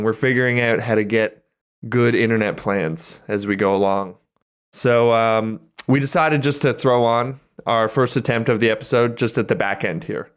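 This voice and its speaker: Easygoing young man, mid-pitched podcast chat. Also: smooth. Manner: easygoing, apologetic, reassuring. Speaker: podcast co-host. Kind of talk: casual chat.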